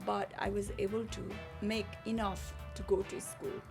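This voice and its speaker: A woman from Nepal telling her story in a relaxed interview chat, earnest and searching for words as she goes. Her voice has a gravelly, creaky edge. Clear music plays behind her. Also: medium pitch, moderate pace. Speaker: guest, spa owner.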